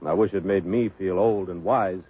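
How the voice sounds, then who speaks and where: A proud, earnest young suitor in an old radio comedy. His voice is low and husky. The broadcast sounds thin and phone-like. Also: moderate pace. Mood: glum. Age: young adult. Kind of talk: 1950s radio comedy acting.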